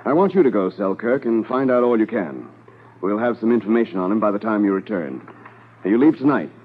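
An actor playing a commanding Mountie officer in a 1950s radio drama, speaking in a measured, authoritative way. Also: male voice, fairly low pitch, lively intonation, a little hiss or hum, lightly acted. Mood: brisk, businesslike. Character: commanding male Mountie detachment officer narrating a case. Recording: tinny 1950s radio transcription.

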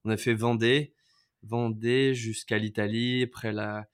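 A man's voice: mid-range voice, little pitch movement, unhurried, clean tone. A man in his thirties from France, a podcast guest telling his life story in a casual, hesitant way with many fillers and restarts. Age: 30s.

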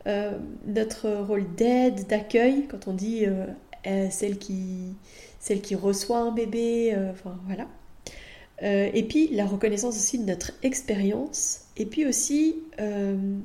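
A woman's voice: mid-range voice, warm tone, roomy sound. A woman from France with a French accent, hosting a podcast as a midwife and talking in a monologue.

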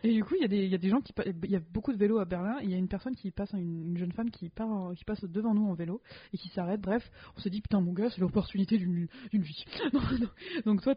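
Husky teasing woman podcaster. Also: medium pitch, quick pace. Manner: teasing, joking storyteller. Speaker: podcast co-host. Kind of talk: casual chat between friends. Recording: dull, muffled sound.

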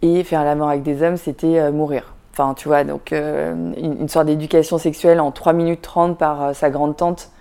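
Low-voiced woman, reflective and halting. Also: flat delivery, dark tone. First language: Vietnamese. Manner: thoughtful, many fillers and restarts, addresses listener with "tu vois". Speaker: podcast guest, filmmaker. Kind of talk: casual reflective interview talk.

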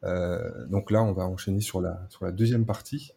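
A man's voice: sits low, fairly even intonation, normal speed, gravelly, warm tone, a little hiss or hum. A man hosting an interview in a casual, conversational way, feeling out his phrasing as he asks. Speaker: interviewer, podcast host.

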